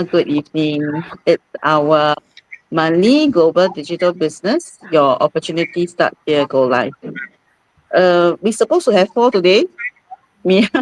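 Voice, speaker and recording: Woman host, forties, informal and halting, dry sound. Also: on the low side, dry recording, low-quality recording. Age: 40s. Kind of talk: informal live-stream presentation.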